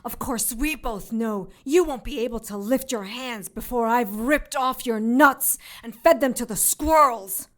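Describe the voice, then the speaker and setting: An actress plays a captive female witch with a high voice and slow, drawn-out delivery. She is defiant and sharp-tongued, sarcastic in the manner of audio drama. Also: lightly acted. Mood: sarcastic defiance.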